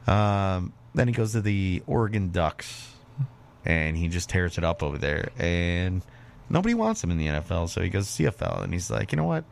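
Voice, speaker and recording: Male sports-talk host. Lowish, creaky voice, very animated, off-the-cuff. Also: normal speed, slight background noise. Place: radio studio.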